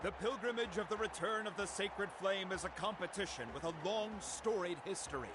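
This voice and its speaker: A man's voice: high-pitched, brisk, loud music behind the voice, close to an everyday voice. An actor playing a formal, rousing male tournament announcer in a video game, projecting with ceremonial showmanship. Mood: grand, formal.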